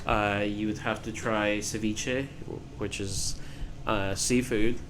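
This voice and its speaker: A young man from Peru chatting on a podcast, talking with many pauses and fillers. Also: on the low side, steady tone, background noise. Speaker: podcast co-host. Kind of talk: casual chat.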